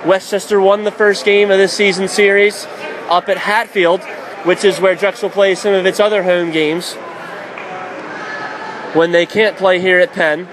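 A man doing radio play-by-play of a college hockey game, calling plays quickly and shouting at the big moments. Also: high-pitched. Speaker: play-by-play announcer. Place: college ice arena. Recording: noisy background.

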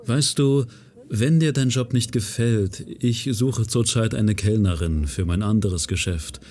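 A man's voice: fairly low pitch, measured pace, darkish tone, natural voice. A man playing an affable, easygoing small-time hustler in a fan audio drama. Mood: friendly.

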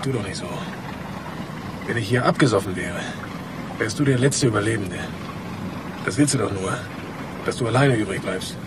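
An actor playing a bitter, insistent elevator fitter in a German radio crime drama. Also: male voice, fairly low pitch, moderate pace, long pauses, lightly acted. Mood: accusing. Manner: confrontational, insistent. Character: bitter, accusing male elevator fitter fearing for his job. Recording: noisy ambience behind the voice.

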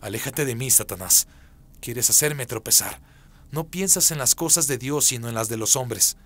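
A man's voice: medium pitch, normal speed, a bit bright, lightly acted. A man voicing a firm, authoritative prophet, preaching solemnly and emphatically in a radio drama. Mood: stern, emphatic. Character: Jesus, firm authoritative male prophet and teacher.

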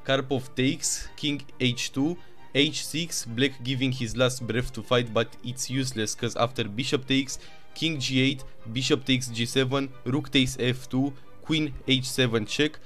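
A man with a Romanian accent narrating chess games move by move, with excited asides. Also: mid-range voice, a little slow, faint music behind. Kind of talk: chess game commentary.

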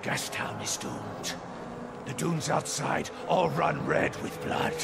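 A man's voice: fairly high pitch, huge pitch swings, slow pace, gravelly, heavy background noise, heavily acted. An actor playing a grandiose male cult preacher who leads a fire-worshipping flock, in a video game cutscene. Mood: preaching. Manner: grandiose, preaching, sweeping swings between loud and hushed. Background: loud score music.

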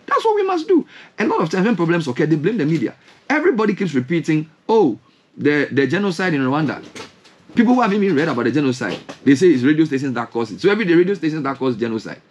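A Ghanaian man hosting a radio show speaks into a studio mic, his voice a little husky and riding high. His pitch leaps around with real animation as he fires off pointed questions. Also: quick pace. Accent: Ghanaian English. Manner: animated, rhetorical questions, gesturing hands. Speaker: radio host. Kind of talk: impassioned on-air commentary. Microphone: studio mic with headphones.

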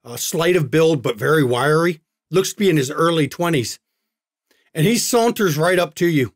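Halting man narrating a tabletop game. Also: fairly high pitch, expressive, dry recording, studio quality. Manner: halting, many uhs and restarts. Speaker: game master.

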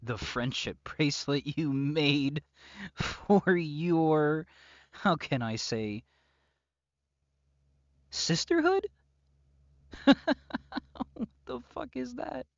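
A man's voice: on the high side, sing-song, very slow, vocal fry, pauses often, dry recording, dull audio, exaggerated voice. A man playing an obsessive, possessive psychopath captor in an intimate roleplay audio drama, speaking with mock tenderness and often dropping to whispers. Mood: sadistic glee.

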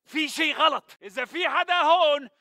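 مسلح شامي متوتر، صوته حاد وعالٍ. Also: male voice, extremely high pitch, normal speed, pushed and bright, dry recording, clean studio sound. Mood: alarmed. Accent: Levantine Arabic. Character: tense, impatient male militant guard. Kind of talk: video game combat chatter.

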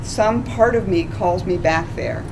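A woman in her sixties reads her own personal essay from the page on a small stage. Her voice sits a little low, and she lingers over each phrase. Steady noise from the live room runs under the recording. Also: creaky. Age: 60s. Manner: slow, deliberate, measured delivery. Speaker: author reading her essay. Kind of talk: literary reading. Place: small stage in a dark club.

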